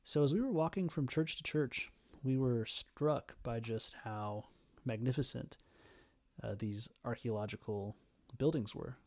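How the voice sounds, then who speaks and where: A young man giving an informal prepared speech in an earnest, hesitant manner with frequent pauses. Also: medium pitch, darkish tone, no echo. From Canada, Ontario. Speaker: student giving a persuasive speech.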